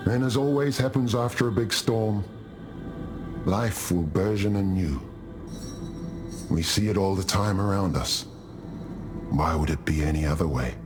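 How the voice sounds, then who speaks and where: Game chieftain: low, hearty, carefree old-world warrior, lusty and philosophical, loud music underneath. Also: male voice. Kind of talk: video game character dialogue.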